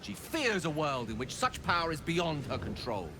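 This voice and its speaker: An actor playing an ambitious, earnest wizard scholar in a video game, eloquent, persuasive and grandiose. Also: male voice, on the high side, sing-song, moderate pace, over music. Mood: bitter.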